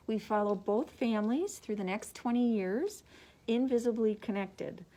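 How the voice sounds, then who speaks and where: A woman's voice: mid-range voice, a little mellow, poor audio. Woman librarian reading book summaries. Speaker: librarian presenting book picks. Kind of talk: scripted book summaries read aloud.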